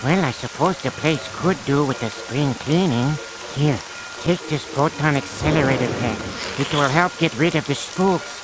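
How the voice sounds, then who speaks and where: Man as a formal monster butler over loud music. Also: fairly high pitch, normal speed, natural voice, rough recording. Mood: dutifully gloomy. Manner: formal, servile, droll. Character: gloomy, hulking monster butler, dutiful servant.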